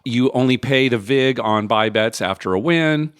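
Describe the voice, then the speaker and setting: A man's voice: mid-range voice, smooth, dry recording, clean studio sound. A man hosting a casual podcast, chatting with his co-host and laughing often. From United States. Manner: lively, laughs often, quick asides. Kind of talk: casual chat. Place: home studio, across from co-host.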